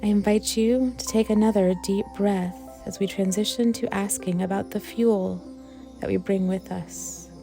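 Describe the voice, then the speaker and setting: A woman leading a guided meditation for worship, speaking in a gentle, reflective manner with unhurried, pausing phrases. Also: mid-range voice, fairly even intonation, vocal fry, faint music behind. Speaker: worship leader guiding a meditation.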